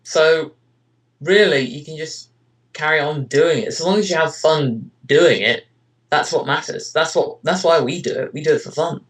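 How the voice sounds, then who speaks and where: A man's voice: a little high, normal speed, fairly bright tone, poor audio. A man answering interview questions in casual chat, rambling hesitantly with lots of filler phrases. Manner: hesitant, rambling delivery with frequent "you know" and "kind of". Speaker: interviewee, amateur voice actor and web parody creator.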